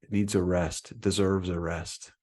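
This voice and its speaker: A man leading a guided meditation as a teacher, speaking in a calm, soothing manner with long pauses between phrases. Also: sits low.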